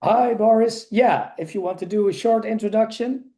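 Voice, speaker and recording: A man with a fairly high, very mellow voice moderates an online meeting. He talks casually and haltingly, doubling up on words as he hands over to participants. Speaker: meeting host. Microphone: video call.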